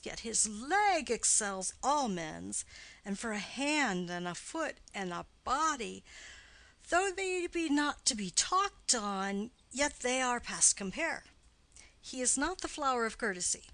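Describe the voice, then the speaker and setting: Woman acting a high-pitched, grumbling old nursemaid. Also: gravelly, a bit bright, no room sound, heavily acted, poor audio. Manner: exclaiming, grumbling. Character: weary, complaining, teasing old nursemaid woman. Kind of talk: classical stage play reading.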